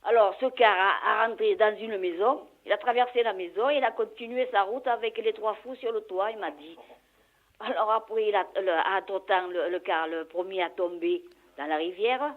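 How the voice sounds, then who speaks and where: A woman's voice: medium pitch, normal speed. A mature woman chatting casually on the telephone, reacting with exclamations. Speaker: prank call victim. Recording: tinny narrowband phone line.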